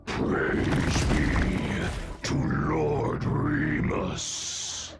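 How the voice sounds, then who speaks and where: A man voicing a solemn, menacing ancient golem in a video game, with gravelly, ceremonial speech. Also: mid-range voice, very animated, drawn-out words. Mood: solemn menace. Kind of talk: scripted video game voice acting. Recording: loud music under the voice.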